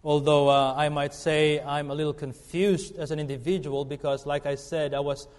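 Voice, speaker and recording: Brief: middle-aged man, guest preacher, slightly high voice, earnest and halting, church hall reverb. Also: flat delivery, darkish tone. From Philippines. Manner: earnest, halting with fillers and restarts. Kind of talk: sermon. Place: church sanctuary.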